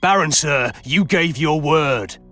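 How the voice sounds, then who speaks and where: A deferential petitioner in a fantasy video game. His voice is fairly high for a man, pleading and insistent, with faint music underneath. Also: takes it slowly, fairly bright tone. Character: deferential but insistent male petitioner to a baron. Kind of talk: dramatic video game acting.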